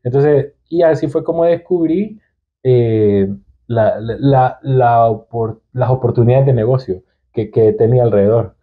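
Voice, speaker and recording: A man's voice: medium pitch, a little slow. A young Salvadoran man with a Salvadoran accent, chatting casually as a podcast guest with hesitant restarts. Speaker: guest entrepreneur. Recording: tinny phone-like sound.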